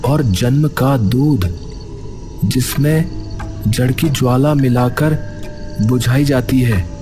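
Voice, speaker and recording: A man's voice: mid-range voice, close to an everyday voice. A man playing an earnest young villager who leads his friends in a cartoon story, speaking casually and urgently.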